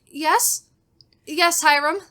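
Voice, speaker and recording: A woman acting a fragile, frightened wife in radio melodrama style, anxious and halting in her delivery. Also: high-pitched, bright, forceful tone, exaggerated voice. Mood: anxious, evasive. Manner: anxious, breathlessly dramatic, halting. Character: frightened, fragile, pleading wife in a gothic thriller.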